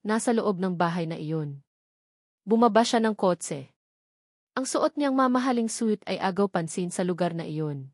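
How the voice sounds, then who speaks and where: A woman's voice: medium pitch, darkish tone, no echo, studio quality. A woman with a Filipino accent reads a dramatic romance story as scripted narration.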